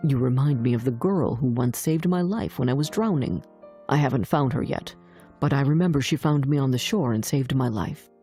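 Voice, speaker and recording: Woman voicing a gentle young storybook prince, lowered mellow voice. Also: animated, moderate pace, husky, soft background music, heavily acted. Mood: tender, grateful. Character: tender, devoted young prince, gentle and sincere. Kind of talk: fairy tale audiobook dialogue.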